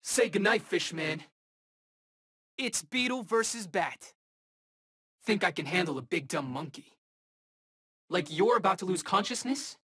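Cocky young superhero, lively, slightly husky. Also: male voice, high-pitched, measured pace, pauses often, full character voice. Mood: cocky, teasing. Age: young adult. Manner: cocky, wisecracking, slangy. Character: cocky, wisecracking eighteen-year-old male armored superhero. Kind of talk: video game voice acting, short battle quips.

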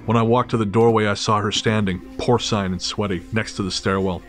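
Man acting as a brooding, bitter narrator, low pitch, wry horror audio drama storytelling, music underneath. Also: steady tone, a little fast, close to an everyday voice. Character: Mike, bitter, sardonic middle-aged son back home. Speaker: narrator and lead actor.

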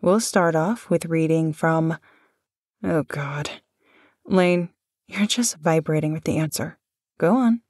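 A woman with a lowish, breathy, husky voice speaks slowly and gently into a close microphone. She sounds affectionate and dryly amused. The recording is dry and intimate. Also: no room sound. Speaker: voice actor. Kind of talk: intimate audio roleplay.

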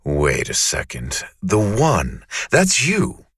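Suave surgeon, very deep and husky. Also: male voice, huge pitch swings, takes it slowly, fairly bright tone, dry recording, studio quality, heavily acted. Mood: charming, curious. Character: suave, flirtatious, polite male surgeon, handsome and vain. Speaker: actor in a romantic comedy audio drama. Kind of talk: romantic comedy audio drama acting.

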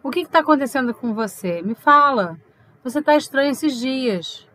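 A woman's voice: high-pitched, expressive, moderate pace, bright, forceful tone. A woman playing a teasing, inquisitive, unsentimental girlfriend in an audio drama, probing and playful. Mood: concerned, probing.

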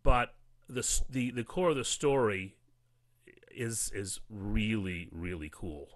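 A man talking as a guest in a casual interview, in a measured, deliberate way. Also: on the low side, no echo. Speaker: audio drama writer, podcast guest.

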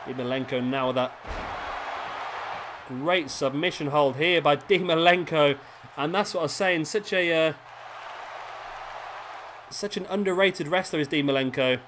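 A man calling the action of a wrestling video game in a slightly raised voice that has gone a little hoarse. He chats casually between moves and gets louder and more excited on the pin counts. A steady hiss of background noise sits under the recording. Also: a little high, measured pace, pauses often. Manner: excited calls during pins, chatty asides between. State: slightly hoarse, losing his voice. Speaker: let's play commentator. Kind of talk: casual play-by-play game commentary.

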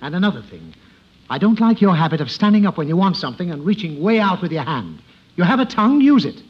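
A fussy, exasperated father in an old radio comedy. His voice sits rather high and swoops dramatically as he scolds, on a dull, slightly noisy recording. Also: very animated, quick pace. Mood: fussy, scolding. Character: exasperated, scolding father of a naughty little girl. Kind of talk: 1950s radio comedy acting.

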